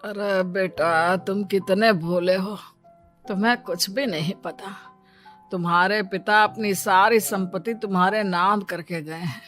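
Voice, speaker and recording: A woman's voice: mid-range voice, steady tone, unhurried, fairly bright tone, soft background music, natural voice. A woman voicing a kindly, knowing older manservant in a cartoon story, in an avuncular, confiding way. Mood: gently revealing. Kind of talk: Hindi cartoon story dialogue.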